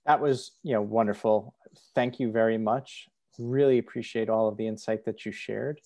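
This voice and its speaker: Brief: middle-aged male moderator, warm mellow tone, upbeat, earphone mic on a call. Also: fairly low pitch, steady tone, normal speed. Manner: friendly, upbeat transitions. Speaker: panel moderator. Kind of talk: moderating a video panel. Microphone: earphone headset on video call.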